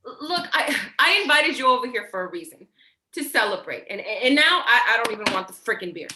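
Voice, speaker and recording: A woman playing an insecure, blunt, protective older sibling in a staged play reading, halting and repeating words. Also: on the high side, lively intonation, bright, forceful tone, reverberant, a little hiss or hum. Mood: earnest, nervous.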